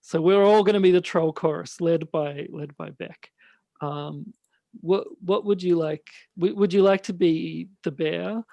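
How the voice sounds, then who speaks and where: Casting: male podcast host with a light, high-pitched voice, slow and halting chat, recorded dry. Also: fairly even intonation, vocal fry, mellow, no echo. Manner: hesitant, halting delivery. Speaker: host and radio play director.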